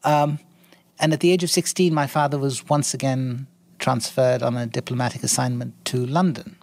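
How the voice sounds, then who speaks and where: A man in his sixties from India, a guest in an interview, speaking in an articulate, measured way with frequent ums and restarts. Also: medium pitch, steady tone, vocal fry, a little mellow, no room sound. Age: 60s. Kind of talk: interview conversation.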